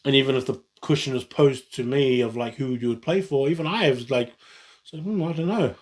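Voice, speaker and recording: A man's voice: mid-range voice, a little fast, darkish tone. A man, talking casually on his own and often restarting his sentences. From New Zealand. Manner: rambling, frequent restarts and self-corrections. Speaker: vlogger. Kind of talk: casual solo talk.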